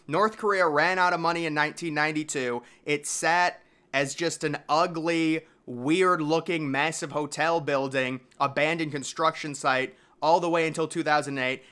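Man, slightly high pitch, bright forceful tone, video host, documentary-style narration, informal slangy commentary. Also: clear, resonant tone.

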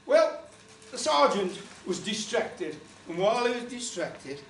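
A man's voice: sits high, big pitch swings, measured pace, fairly bright tone, a little hiss or hum. An older man telling a chatty, rambling comic story to a small folk club, with lots of asides. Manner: gestures broadly while standing. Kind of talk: comic spoken-word tale. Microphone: far camera. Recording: roomy, distant camera audio.

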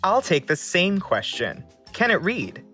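A woman's voice: on the low side, sing-song, unhurried, a bit bright, faint music behind. A woman quiz contestant asking short scripted questions.

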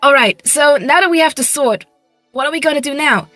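A woman's voice: sits high, fairly quick, fairly bright tone, no echo, soft background music. A woman playing a cheeky, easygoing hedgehog hero in an improvised comedy fandub, talking in a casual, skeptical, slangy way.

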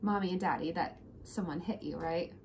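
A woman's voice: fairly low pitch, moderate pace, darkish tone. A woman in her thirties hosting an interactive read-aloud, explaining simply and asking a child leading questions. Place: small room at home, indoors. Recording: clear background noise, home camera mic.

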